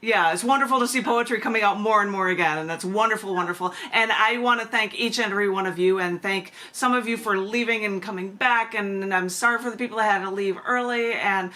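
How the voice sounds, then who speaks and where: A woman's voice: mid-range voice, fast talker, few pauses, bright, forceful tone. A woman hosting a poetry open mic over a video call, talking in a chatty, rambling way with effusive thanks. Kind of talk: casual hosting of a virtual poetry meeting. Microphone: video call microphone.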